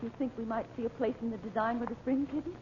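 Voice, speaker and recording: A woman's voice: fairly high pitch, fairly even intonation, quick pace, natural voice. An actress playing an anxious, determined mother in an old radio serial, urgent and emotional. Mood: eager, anxious. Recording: tinny, noisy old radio recording.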